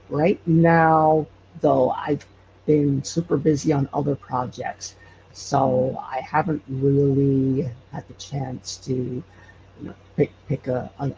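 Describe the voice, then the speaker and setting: A man talking casually as an interview guest, halting as he searches for words. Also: mid-range voice, vocal fry. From Hawaii. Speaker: podcast creator guest. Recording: dull lo-fi call, loud noise.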